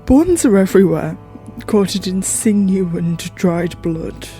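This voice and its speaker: Horror podcast narrator: very slow, creaky mid-pitched voice, measured and theatrical, musing to the listener, music underneath. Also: warm tone. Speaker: narrator and host of an audio horror fiction show. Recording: music behind the voice. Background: background music.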